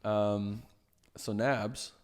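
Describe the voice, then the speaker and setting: Man in his thirties, podcast co-host, lower voice, laughs a lot, loose banter. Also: steady tone, drawn-out words, a little mellow, clear, resonant tone. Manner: joking, laughs often. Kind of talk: casual chat.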